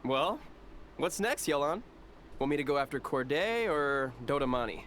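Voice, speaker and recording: A man's voice: on the high side, sing-song, moderate pace, fairly bright tone, slight background noise. An actor playing a casual, wry, self-assured male officer in a video game. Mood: relaxed, pleased. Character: easygoing male officer who enjoys playing tough villains. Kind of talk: scripted video game voice acting.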